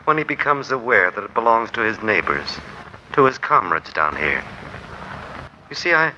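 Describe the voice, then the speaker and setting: A man's voice: on the low side, lively intonation, moderate pace. An actor playing a mild, trusting little man, earnest and proper in his speech, in an old radio drama. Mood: earnest, gentle. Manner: soft-hearted, earnest, proper diction. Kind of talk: 1940s radio drama acting. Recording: dull, faintly noisy 1940s radio recording.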